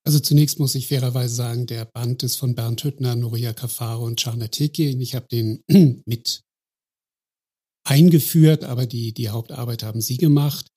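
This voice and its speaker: A man answering as a podcast guest, explaining his points in a measured, structured way. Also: mid-range voice, fairly even intonation, mellow, breathy edge.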